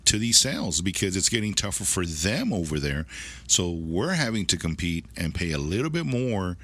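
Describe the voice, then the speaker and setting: A middle-aged man with a deep voice, speaking casually as an interview guest in a rambling way that often repeats phrases. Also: sing-song, moderate pace, fairly bright tone, no echo. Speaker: car dealership manager, interview guest.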